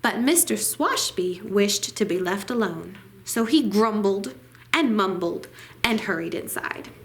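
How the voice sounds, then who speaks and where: A woman reading a children's picture book aloud at storytime, with deliberate, dramatic phrasing. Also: medium pitch, animated, fairly bright tone, slight background noise. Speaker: library storytime reader.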